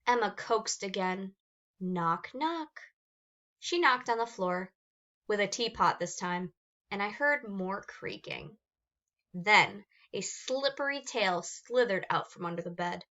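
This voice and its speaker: A woman reading a children's picture book aloud with expressive narration. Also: mid-range voice, slow, dull audio. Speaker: storybook reader.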